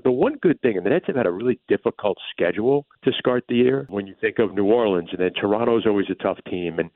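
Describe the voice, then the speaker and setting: A man giving measured, conversational sports analysis as an interview guest, explaining things like a play-by-play announcer. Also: on the low side, no room sound. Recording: muffled phone-like line.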